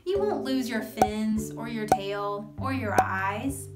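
A woman voicing a kind young remora fish in a children's story, gentle, encouraging and explaining things. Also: mid-range voice, slow pace, echoey room, loud music behind the voice, voice pushed down, close to an everyday voice. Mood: reassuring.

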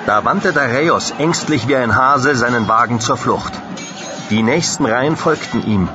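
An actor playing a proud Macedonian soldier who solemnly and fervently recounts a glorious battle in an audio drama. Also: male voice, mid-range voice, highs cut off, noisy background, lightly acted. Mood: proud, excited.

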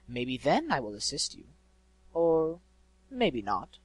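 Man acting a malevolent storybook spirit, male, eloquent, slow theatrical cooing, taunting, husky. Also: mid-range voice, wildly swooping pitch, no echo, exaggerated voice. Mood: sly, cooing.

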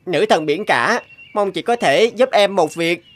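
Plaintive young orphan demon. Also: female voice, on the low side, expressive, measured pace. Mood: pleading. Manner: pleading, plaintive. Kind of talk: animated fairy-tale dubbing.

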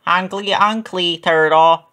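A man's voice: high voice, fairly even intonation, takes it slowly, sharp tone, voice pushed up, heavily acted. A man voicing an earnest, curious little gosling in a children's storybook.

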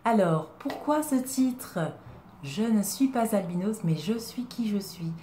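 A young woman from Congo talking to her webcam in a small room, earnest and reflective, pausing often and asking rhetorical questions. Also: mid-range voice, lively intonation, dark tone, roomy sound, slight background noise. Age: young adult. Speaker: vlogger. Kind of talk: personal talk to camera. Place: indoors, small room, plain wall. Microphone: webcam at desk distance.

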